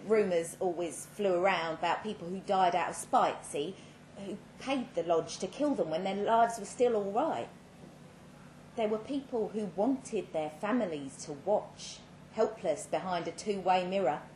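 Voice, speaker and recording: A woman giving a measured, dramatic reading of a first-person short story at a live event, with pointed pauses. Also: medium pitch, darkish tone. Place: indoor live literary event. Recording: roomy live venue, clear background noise.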